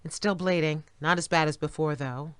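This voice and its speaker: A woman's voice: sits low, steady tone, moderate pace, clean tone, no echo, natural voice. An actress playing a firm, exasperated middle-aged mother in an audio play, scolding and coaxing by turns. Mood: brisk, fussing.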